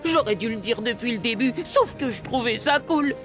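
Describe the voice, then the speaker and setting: A woman plays a preteen amoeba boy from a cartoon, a would-be superhero with a high, swooping voice. He is excitable, dramatic and prone to guilt, with music playing behind him. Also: normal speed, close to an everyday voice. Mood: guilty. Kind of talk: French animated series dub acting. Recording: loud music bed behind the voice.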